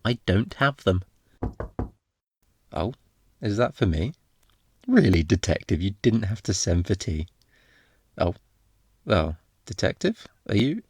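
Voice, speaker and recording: Man playing a cocky flirtatious thief, low-voiced. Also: sing-song, slow, pauses often, husky, no room sound, exaggerated voice. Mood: sarcastic, cheeky. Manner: teasing, theatrical, sarcastic, swooping into mock quotes. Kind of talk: ASMR roleplay acting.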